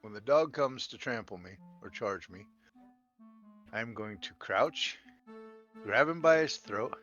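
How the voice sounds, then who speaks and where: A man's voice: medium pitch, animated, a little slow, a bit bright. A man chatting casually, with some swearing, as a player in a tabletop roleplaying game. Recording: slightly dull audio, music underneath. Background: instrumental music.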